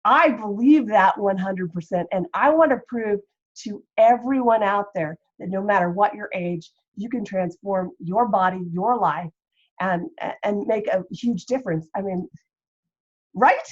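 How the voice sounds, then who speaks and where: A woman in her fifties sharing her personal story on a group call, earnest and halting, with many fillers. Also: medium pitch, lo-fi sound. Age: 50s. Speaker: program participant.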